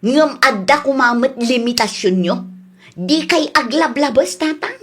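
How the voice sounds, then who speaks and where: A woman's voice: high voice, expressive, unhurried, fairly bright tone, faint music behind, exaggerated voice. A woman with an Ilocano accent playing a level-headed grown daughter back from abroad in a radio drama, reasoning firmly with her father. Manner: firm, reasoning.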